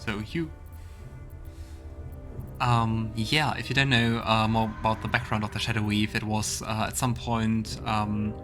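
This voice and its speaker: A man's voice: on the low side, little pitch movement, a little slow. A man hosting a let's play, giving casual, musing and wry conversational commentary on a video game. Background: game music.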